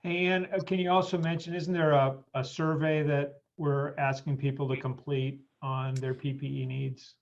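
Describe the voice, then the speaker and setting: A man's voice: fairly high pitch, level tone, moderate pace, gravelly, few pauses. A man hosting a conference call in an informal way, moderating questions, on lo-fi call audio.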